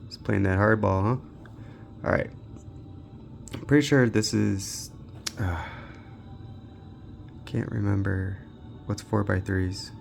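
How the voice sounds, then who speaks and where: A man's voice: fairly low pitch, fairly even intonation, slow pace, gravelly, long pauses, soft background music. A man giving casual, off-the-cuff commentary while testing games, muttering and thinking aloud, as a handheld gaming reviewer.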